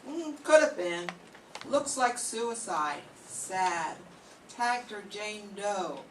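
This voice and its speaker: An older woman playing a gruff, world-weary male police lieutenant with a soft heart, in an amateur 1940s-style radio play. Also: medium pitch, expressive, drawn-out words. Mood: somber. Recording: echoey room from far off, faint hiss.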